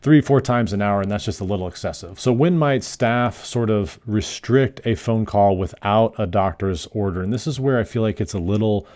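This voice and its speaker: A man's voice: lowish voice, normal speed, hardly any pauses, a little mellow. A man working as a nurse, hosting an explanatory video in an informal, conversational way. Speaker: psychiatric nurse and video host. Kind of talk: explanatory talk to camera.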